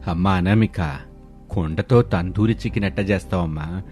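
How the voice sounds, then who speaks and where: A kindly older father-in-law in a cartoon family tale, acted by a man, speaks low and measured with rustic, colloquial phrasing. Music sits softly behind him. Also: lightly acted. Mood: gently doubtful. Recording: music bed under voice.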